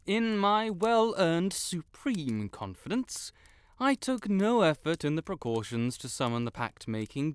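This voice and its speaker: A man's voice: on the high side, smooth, dry recording. A man playing a pompous, melodramatic wizard in an audio roleplay, with flowery, theatrical speech and blurted self-corrections. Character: haughty, melodramatic male wizard, secretly fond tsundere. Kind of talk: scripted audio roleplay to the listener.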